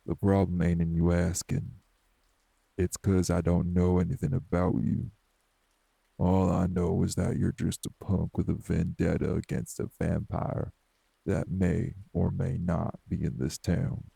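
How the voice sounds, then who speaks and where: A man playing a morose older wraith in an audio drama, blunt, folksy and scolding, with a husky, gravelly voice. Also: little pitch movement, a little slow, no room sound, heavily acted. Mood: gruff, challenging. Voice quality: very gravelly, husky, dark low voice. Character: morose older male wraith, rural homebody, stern but hospitable. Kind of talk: dramatic audio roleplay acting.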